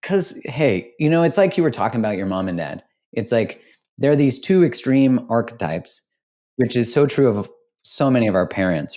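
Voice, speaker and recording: A man's voice: on the low side, a little mellow. A man hosting a podcast, talking in a reflective, casual way, with easy swearing and laughter. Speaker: podcast host.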